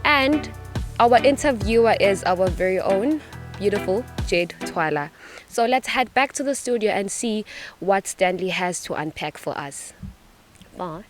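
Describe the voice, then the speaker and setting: Woman show host, medium pitch, upbeat intro with music clearly behind. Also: lively intonation, measured pace.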